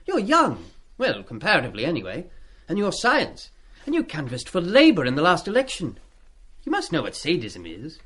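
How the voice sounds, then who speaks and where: A man's voice: a little high, huge pitch swings, normal speed, fairly bright tone. An actor playing an eager, cheeky British schoolboy in a radio play, chatty and indiscreet but always polite to his elders. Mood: frank, earnest. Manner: chatty, deferential with schoolboy slang.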